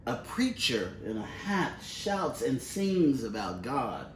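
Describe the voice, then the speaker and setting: Slow-reading man in a roomy space. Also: mid-range voice, big pitch swings. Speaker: picture book reader. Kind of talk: expressive read-aloud of a children's storybook. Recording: roomy, distant mic with faint noise.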